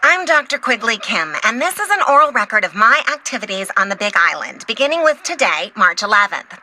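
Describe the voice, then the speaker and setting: A woman voicing an eager, quirky, chatty young insect scientist in a video game, excitable and easily sidetracked. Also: on the high side, a little fast, a little hiss or hum, full character voice. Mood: rambling. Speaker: game character, field entomologist. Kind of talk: animated video game voice acting.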